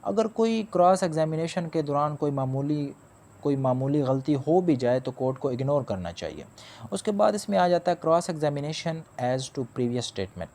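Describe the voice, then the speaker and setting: A man's voice: fairly high pitch, lively intonation, normal speed, a little mellow. A man giving a spoken law lecture. He explains step by step, restates each point and mixes in English legal terms. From Pakistan.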